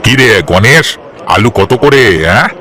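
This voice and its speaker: A man's voice: mid-range voice, lively intonation, unhurried, sharp tone, some chatter behind. A man voicing a pushy, brusque, haggling market customer in a cartoon. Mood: brusque, bargaining. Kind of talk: cartoon voice acting.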